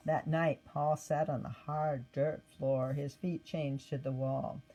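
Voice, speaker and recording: Husky-voiced woman reading a story aloud. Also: deep voice, slow pace, low-quality recording. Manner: expressive read-aloud with acted quotes. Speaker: storybook reader. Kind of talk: scripted narration of a children's Bible story.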